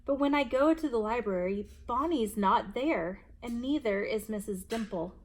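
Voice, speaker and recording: A woman hosting a children's story time, reading aloud expressively and deliberately for young listeners. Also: a little high.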